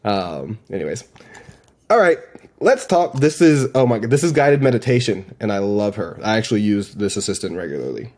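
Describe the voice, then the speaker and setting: A man's voice: lowish voice, sing-song, normal speed, darkish tone. A man giving casual demo commentary as a presenter, informal and slangy, with an enthusiastic manner. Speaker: presenter demonstrating AI voice assistants.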